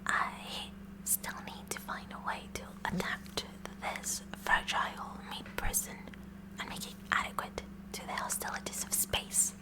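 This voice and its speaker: Kooky, eager high school science club president played by a woman, nerdy and rambling, whispered ASMR roleplay, unhurried delivery. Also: natural voice, low-quality recording. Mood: curious, intent. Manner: rambling, halting, nerdy, addressing the listener directly.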